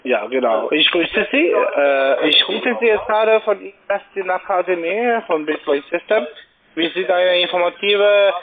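A man with a foreign accent, not a native German speaker, making a halting sales pitch by phone. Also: lively intonation. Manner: halting, broken phrasing. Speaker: cold-calling telemarketer. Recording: tinny phone line, busy call center chatter.

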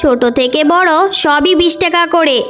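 A woman's voice: very high-pitched, moderate pace, a bit bright, soft background music. A woman voicing a sly, dismissive tigress shopkeeper auntie in a children's cartoon, speaking curtly. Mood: dismissive, scornful. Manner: curt, dismissive.

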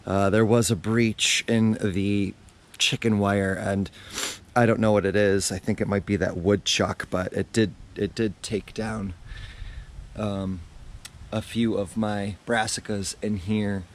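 A man in his thirties, hoarse after losing his voice, hosting a relaxed garden tour outdoors with a lapel mic, talking casually with lots of fillers. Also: fairly low pitch, slow, slight background noise. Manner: chatty, relaxed, with many fillers. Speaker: gardening channel host.

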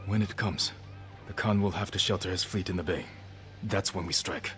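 Grave samurai lord, game, very low voice, calm, measured, loud music. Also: male voice. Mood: resolute. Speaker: game character.

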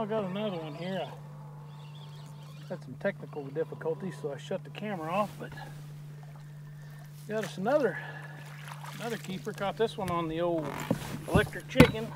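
Middle-aged man, folksy fishing vlogger, slightly high voice, recorded outdoors on a boat by a mounted camera. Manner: folksy, excited bursts while landing fish. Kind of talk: casual fishing commentary. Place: small boat on open lake, outdoors. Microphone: wide-angle camera mounted at the boat's stern. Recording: outdoor boat recording with wind and water noise.

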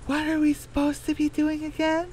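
High-voiced, dim cartoon chicken, acted out by a performer. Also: monotone, moderate pace, a little mellow, a little hiss or hum, lightly acted. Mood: puzzled. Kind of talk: cartoonish radio drama character voice.

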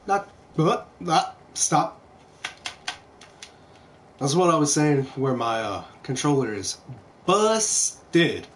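A man's voice: fairly high pitch, expressive, takes it slowly, long pauses. A young man giving casual, laid-back gameplay commentary with self-deprecating, sweary remarks, recorded at home on a headset. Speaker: video game streamer. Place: indoor room at home. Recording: clearly noisy home stream recording.

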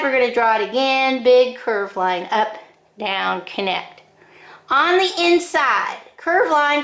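An older woman giving step-by-step drawing instructions in short, deliberate phrases with frequent pauses, recorded in an echoing room. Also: a little high, fairly bright tone. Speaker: drawing teacher. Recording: roomy, echoing indoor sound.

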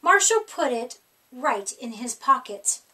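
A middle-aged woman reading a children's picture book aloud in an expressive, theatrical way with deliberate phrasing. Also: high-pitched, recorded from a distance, poor audio. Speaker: storyteller. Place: small indoor room with decorated wall.

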